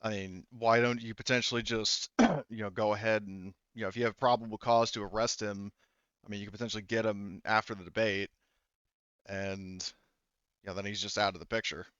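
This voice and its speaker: A man's voice: lowish voice, steady tone, fairly quick, fairly bright tone, clear, resonant tone, no echo, highs cut off, natural voice. A man voicing a female subordinate investigator in a tabletop game, blunt but deferential, with hesitant, casual phrasing. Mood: blunt suggesting.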